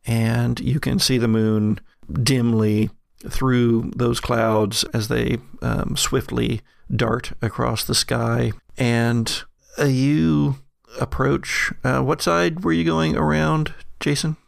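A man narrating a horror tabletop game as game master, telling it in a halting, descriptive way. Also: mid-range voice, flat delivery, gravelly, no room sound.

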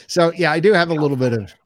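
A man co-hosting a podcast in casual chat, rambling and jokey as he talks. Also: fairly high pitch. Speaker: podcast co-host.

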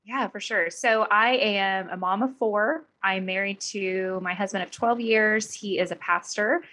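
A woman's voice: medium pitch, a little slow, sharp tone. A woman in her thirties from Oklahoma, a podcast guest who speaks in a candid, thoughtful way. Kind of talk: conversational interview.

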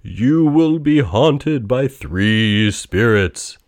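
A man voicing the chained ghost of a dead partner, speaking in a slow, drawn-out, booming way. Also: lively intonation, dry recording, studio quality. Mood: warning.

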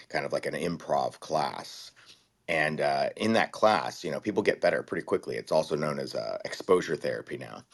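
A man's voice: a bass voice, a bit bright. A man, a guest, telling his story in a laid-back, rambling way with many fillers and restarts. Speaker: guest, writer-actor-producer. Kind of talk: casual interview storytelling.

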